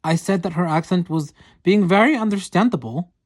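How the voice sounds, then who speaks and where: A woman's voice: lowish voice, a little fast, darkish tone, close to an everyday voice. Earnest young yeti girl, read by a woman: blunt, formal, broken English, told as a diary. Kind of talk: reading diary entries aloud.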